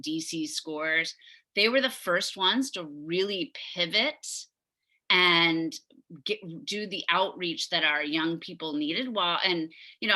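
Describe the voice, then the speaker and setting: A woman's voice: fairly low pitch, pushed and bright. Chatty woman chairing a video meeting. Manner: talkative, enthusiastic, trailing restarts. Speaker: meeting chair of neighborhood committee. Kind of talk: virtual community meeting. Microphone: video call.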